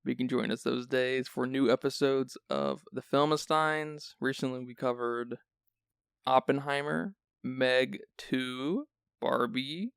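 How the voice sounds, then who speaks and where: A man's voice: medium pitch, steady tone, slow, creaky, a bit bright, smooth, no room sound, clean studio sound. A man hosting a film podcast who sounds sleepy, chatting casually and rambling with restarts. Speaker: podcast host.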